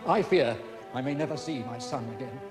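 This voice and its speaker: A man's voice: mid-range voice, fairly quick, natural voice. An actor playing an old, sorrowful king in mourning, speaking formally in an audio drama. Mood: grieving. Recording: loud music behind the voice.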